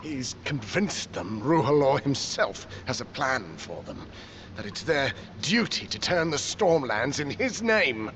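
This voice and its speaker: Indignant, highly animated captive lord. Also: male voice, measured pace, slight background noise. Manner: scornful, blustering. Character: indignant, proud male lord, roughed-up captive. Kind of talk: dramatic game cutscene acting.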